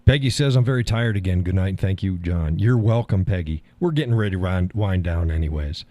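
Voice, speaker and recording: A man hosting a live call-in stream, talking in a calm, easygoing way and chuckling often. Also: low voice, brisk, dry recording. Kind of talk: casual chat.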